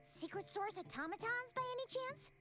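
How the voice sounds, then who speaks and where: A woman voicing a tiny, childlike, excitable fairy companion in a video game, squeaky and earnest. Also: normal speed, over music. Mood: excited, curious.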